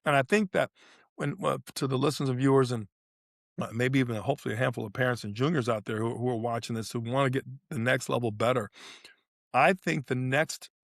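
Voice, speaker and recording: Male voice, casual sports analysis, emphatic, repetitive phrasing, slightly low pitch, dry close recording. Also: fairly even intonation, a little fast, vocal fry, no echo. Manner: emphatic, repeats phrases for effect. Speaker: podcast co-host analysing tennis. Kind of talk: casual sports talk, breaking down play.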